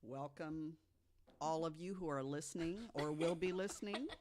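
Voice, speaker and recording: A man's voice: fairly high pitch, moderate pace. A man guest hosting a podcast, reacting with casual, playful and dramatic banter.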